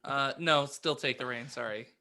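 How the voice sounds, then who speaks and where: A man's voice: mid-range voice, moderate pace, sharp tone. A man from Arizona with an American accent, co-hosting a casual comedy podcast. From Arizona, United States. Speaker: podcast co-host.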